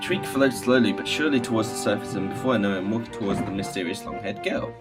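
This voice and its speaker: A man hosting a gaming video, reading visual novel text aloud with casual asides and halting stumbles over the words. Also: fairly low pitch. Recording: music playing behind the voice.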